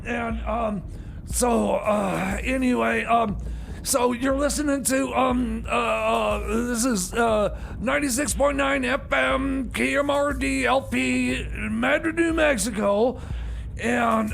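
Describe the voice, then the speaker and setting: A man playing a flustered, apologetic substitute radio DJ on a comedy show, stammering and halting in exasperation. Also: steady tone, fairly bright tone, exaggerated voice. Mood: rattled, apologetic. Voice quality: high, heavily creaky voice. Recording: faint background noise.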